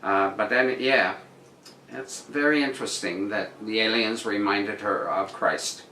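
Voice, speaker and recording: Slow-paced man with a bright, roomy sound. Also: on the low side, steady tone. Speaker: host reading tabloid articles aloud with commentary. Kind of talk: reading aloud with casual wry commentary. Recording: roomy echo with noticeable background noise.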